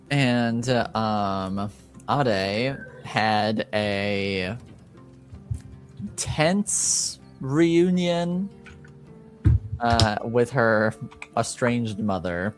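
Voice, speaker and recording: A man hosting a tabletop roleplaying stream as game master, speaking casually and haltingly with long pauses. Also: on the low side, soft background music.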